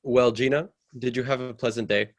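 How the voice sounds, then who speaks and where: A man playing a proper, cautious and ambitious husband in a radio drama, measured and mildly condescending. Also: medium pitch, fairly even intonation, lightly acted. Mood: mild disapproval. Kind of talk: old-time radio drama acting.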